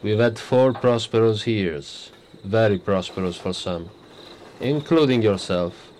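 An actor playing a smug old prime minister. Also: male voice, lowish voice, slight background noise, natural voice. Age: 60s. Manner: wry, teasing, self-assured. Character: older male prime minister, smug, blunt, self-satisfied. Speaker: politician character. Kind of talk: radio drama acting.

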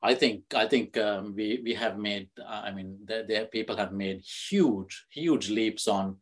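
A man's voice: lowish voice, unhurried. A man from Sri Lanka, a guest on a podcast, answering questions with many restarts and fillers. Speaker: guest, fintech executive.

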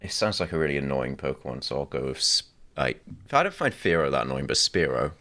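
A man's voice: very deep voice, animated, quick pace, bright, forceful tone. A man bantering casually on a quiz panel, wry and sweary.

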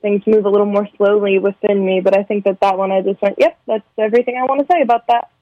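Brief: young woman songwriter, measured and hesitant, dry muffled remote audio. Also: mid-range voice, fairly even intonation, no room sound. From San Fernando Valley, California. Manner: soft-spoken, thoughtful, hesitant with many ums. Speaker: singer-songwriter guest. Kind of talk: remote interview.

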